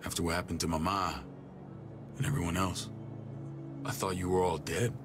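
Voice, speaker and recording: An actor playing a gruff, commanding military man and protective older brother, blunt and profane, in a cinematic video game. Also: low-pitched, level tone, moderate pace, music in the background, lightly acted. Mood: earnest, regretful. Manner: blunt, profane, clipped orders. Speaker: security chief of a survivor settlement.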